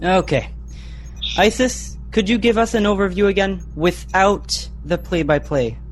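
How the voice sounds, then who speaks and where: A man's voice: high-pitched, a little slow, low-quality recording. A young male performer playing a teenage boy, a reassuring big brother who takes charge, in a sci-fi radio play. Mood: businesslike.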